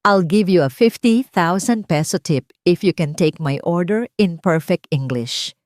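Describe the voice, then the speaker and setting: A woman's voice: fairly low pitch, lively intonation, measured pace, darkish tone, dry recording, clean studio sound. A woman with a Filipino accent voicing an arrogant, cruel rich man in an audio story, mocking and condescending. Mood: taunting, boastful.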